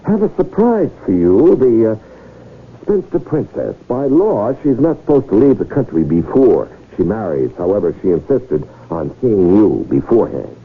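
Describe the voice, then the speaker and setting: An actor playing a smooth, pushy con man with persuasive salesman patter in a 1970s radio drama. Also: lowish voice, big pitch swings, heavily acted. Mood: coaxing. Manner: fast-talking persuasive salesman patter, emphatic. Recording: dull, faintly noisy old radio recording.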